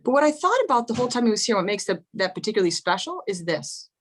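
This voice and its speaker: A woman's voice: mid-range voice, big pitch swings, quick pace. A woman on a panel, telling stories casually over a video call, full of "you know" and wry asides.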